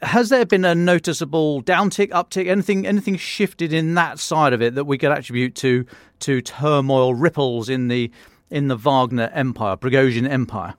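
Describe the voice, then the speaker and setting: A man with a medium-pitched voice hosts a podcast. He interviews informally, working his way haltingly towards each question. The recording is dry, with little room sound. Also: no room sound. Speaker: podcast host, defence editor.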